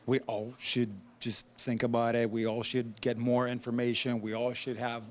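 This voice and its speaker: A man from Greece with a Greek accent, an actor talking earnestly in a casual interview, pausing often on uh. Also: mid-range voice, flat delivery, slight background noise. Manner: earnest, measured, with frequent uh pauses.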